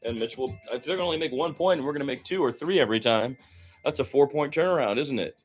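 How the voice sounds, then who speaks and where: A man's voice: medium pitch, animated, fast, soft background music. A man doing live radio play-by-play of basketball, describing the action in running detail with short exclamations and asides.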